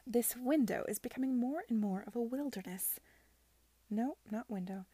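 A scornful young gentlewoman of a period storybook, as a woman reader plays her. She is moody, scheming and used to giving orders. The tone is dark and husky, recorded very dry, with a cutting disdain. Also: fairly high pitch, moderate pace, dry recording, voice pushed up. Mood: gloomy disdain. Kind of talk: audiobook character dialogue.

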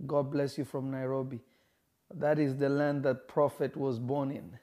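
Emphatic preacher with a mellow voice. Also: male voice, mid-range voice, little pitch movement. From Kenya. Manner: emphatic, repeats phrases, slows for stress. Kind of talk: livestream sermon reading viewer comments.

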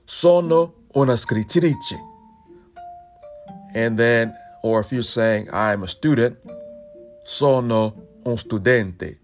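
A man hosting a podcast lesson, slowly saying everyday phrases and repeating each one twice. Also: medium pitch, faint music behind. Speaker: podcast host teaching Italian phrases. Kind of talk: solo language lesson podcast.

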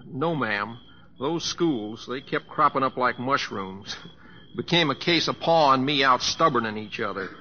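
An actor playing a folksy, humble, teasing young cowboy with a Southwestern American accent and a homespun, hesitant drawl, in old radio drama style. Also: male voice, mid-range voice, expressive, measured pace, sharp tone. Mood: wry, reminiscing. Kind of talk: 1940s radio acting. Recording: muffled old radio broadcast with music.

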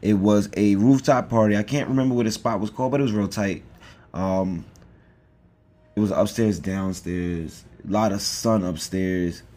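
Man, low voice, casual podcast co-host, rambling, joking, filler-heavy. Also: fairly even intonation, moderate pace, dark tone. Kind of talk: casual chat.

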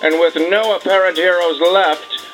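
Serious news anchor, formal scripted read, music behind, voice a bit high. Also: male voice, fairly even intonation, a little slow, fairly bright tone, poor audio. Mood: solemn. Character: serious male radio news reporter.

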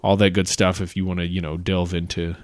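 A man with a fairly low voice co-hosts a podcast, picking apart a classic tale with lots of "uh"s and restarts. His voice sounds close and dry, with no room around it. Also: little pitch movement, no room sound. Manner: analytical, halting with many fillers and restarts. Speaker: podcast co-host. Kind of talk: casual literary discussion.

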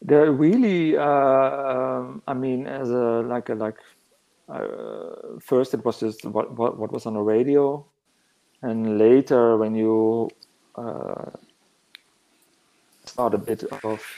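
Slow, gravelly man. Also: mid-range voice, steady tone, mellow, rough recording. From northern Netherlands. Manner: halting, searching for words. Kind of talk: reflective interview answers.

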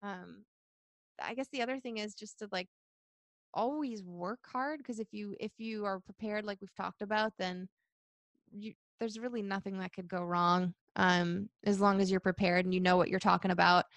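A young woman who works as a reporter, answering interview questions in a chatty, energetic way and laughing often. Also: moderate pace, no room sound. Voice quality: high-pitched voice. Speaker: sports reporter.

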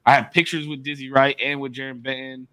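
Bright-voiced man in casual podcast banter. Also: mid-range voice, flat delivery, fast talker, no room sound. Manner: loose, profane, rambling with restarts. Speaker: podcast co-host. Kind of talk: casual chat.

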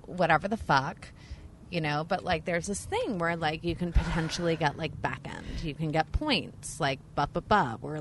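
A woman's voice: sits low, a bit bright, slight background noise. A woman in her thirties hosting a casual podcast chat, rambling with lots of fillers and swearing freely. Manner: chatty, rambling, many fillers, profane, laughs a lot.

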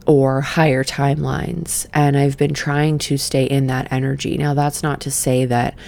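A middle-aged woman who just woke up, leading a gentle breathing exercise and then reflecting in a soft, meandering way. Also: extremely low pitch, level tone, creaky. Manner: soft, slow and meandering, with sighs and fillers. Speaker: podcast host and session coach. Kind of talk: guided breathing then casual reflective talk.

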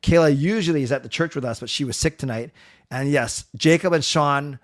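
A middle-aged man with a loud voice hosting a casual hockey livestream, rambling with fillers and restarts. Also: on the high side, brisk. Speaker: livestream host.

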